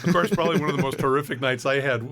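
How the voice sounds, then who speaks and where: A middle-aged man chats as an interview guest. He is an animated storyteller with a medium-pitched voice, slipping into quoted characters as he goes. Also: very fast. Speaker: podcast guest, voice actor and former impersonator. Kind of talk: anecdotal interview chat.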